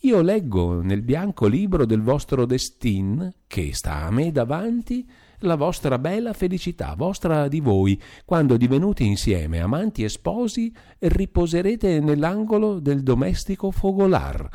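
A mystical fortune-teller woman with a Veronese lilt, as a male audiobook reader puts her on, coaxing and singsong. The voice rides higher than the reader's own and swoops up and down as she spins her prophecies. She sounds theatrical, warm-toned and darkish. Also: very animated, a little slow. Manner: sing-song, mystical, coaxing. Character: female medium, flirtatious fortune-teller. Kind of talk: audiobook character voice.

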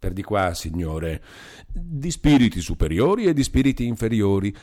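A man's voice: on the low side, sing-song, normal speed, warm tone. A man voicing a bearded charlatan doctor-hypnotist who talks in a pompous salesman's patter. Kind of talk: audiobook character voice.

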